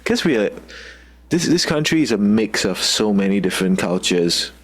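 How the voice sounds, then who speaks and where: Low-voiced Singaporean man, halting. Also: very animated. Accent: Singaporean English. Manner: loose and halting, searching for words with many "like" fillers. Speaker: guest musician. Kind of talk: casual video-call chat.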